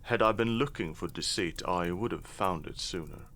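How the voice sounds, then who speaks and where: An actor playing a middle-aged, shrewd and dry-witted noble father in an audio drama, speaking in a measured, formal, wry way. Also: deep voice, a bit bright. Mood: dry, reproachful.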